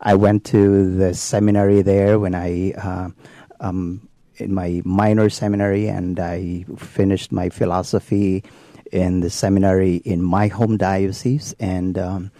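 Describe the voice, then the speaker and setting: A Filipino-accented priest with a low, gravelly, mellow voice, telling his story in an unhurried, halting way full of pauses. He is recorded dry and close, with no room sound. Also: level tone, no echo. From Philippines. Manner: unhurried, halting, many ums and restarts.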